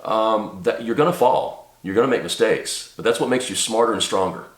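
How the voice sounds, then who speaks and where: A man with a very low voice talks to camera, a little stuffed up from a cold. He rambles earnestly, with lots of ums and restarts. The room around him is echoey. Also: moderate pace. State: congested from a cold. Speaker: channel host and small business owner. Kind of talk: casual talk to camera. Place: home office.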